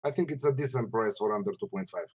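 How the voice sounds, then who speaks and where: Halting Romanian-accented man giving betting tips. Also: lowish voice, darkish tone, breathy edge, muffled recording. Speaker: betting tipster and panelist. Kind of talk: casual sports betting analysis.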